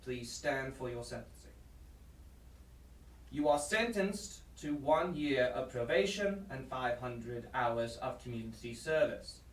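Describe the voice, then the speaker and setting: Echoey actor as a solemn older judge. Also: male voice, medium pitch, expressive. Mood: stern, official. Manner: formal, deliberate courtroom delivery. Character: solemn male judge in his sixties. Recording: echoey, distant, background noise.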